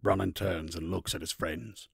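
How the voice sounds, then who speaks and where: A man's voice: low-pitched, fairly even intonation, a little slow, gravelly, husky, no echo, studio quality. A man narrating a scripted fantasy story as the narrator of an audio drama.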